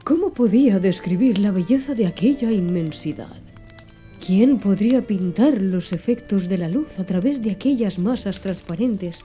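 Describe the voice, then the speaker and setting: A woman narrating an audiobook in a soft, slow, hushed ASMR style. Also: mid-range voice. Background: faint background music.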